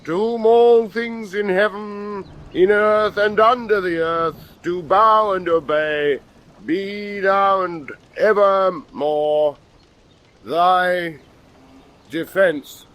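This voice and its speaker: A man acting a stubborn, unflappable British naval commander in a radio drama, with a measured, formal, commanding British delivery. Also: high-pitched, a bit bright, clean tone, full character voice. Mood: solemn, ceremonial.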